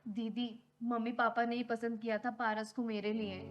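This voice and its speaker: A woman's voice: fairly high pitch, little pitch movement, normal speed, muffled recording, lightly acted. A young woman playing an earnest, pleading runaway bride in a Hindi moral drama.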